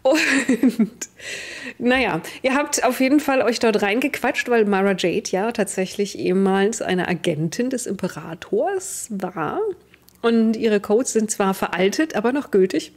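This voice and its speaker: A woman's voice: mid-range voice, measured pace. A young adult woman, recapping a tabletop role-playing game to her players as game master on a video call.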